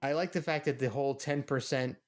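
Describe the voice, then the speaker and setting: A man with a mid-pitched voice talks through an episode as a podcast co-host. His delivery is casual and musing, with a wry, amused streak. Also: fairly even intonation, fairly quick.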